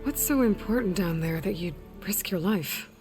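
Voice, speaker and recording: A woman's voice: mid-range voice, animated, normal speed, music in the background, close to an everyday voice. A woman playing a determined, wry young female warrior in a game, with a dry, matter-of-fact delivery. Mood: wry, curious. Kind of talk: naturalistic game voice acting.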